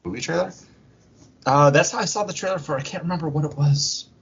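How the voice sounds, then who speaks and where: A man with a husky voice co-hosting a podcast in loose, casual chat. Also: a little high, fast, fairly bright tone, lo-fi sound. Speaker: podcast co-host.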